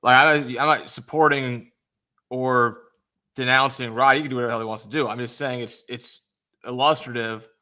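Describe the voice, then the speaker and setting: Bright-voiced man with a wordy, hedging style. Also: medium pitch, fairly even intonation, brisk. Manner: wordy, academic phrasing, hedging. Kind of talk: casual debate.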